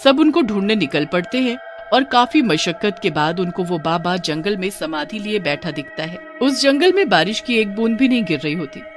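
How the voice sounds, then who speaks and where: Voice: medium pitch, expressive, moderate pace, hardly any pauses. A storyteller giving scripted narration of a cartoon horror tale. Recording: music behind the narration.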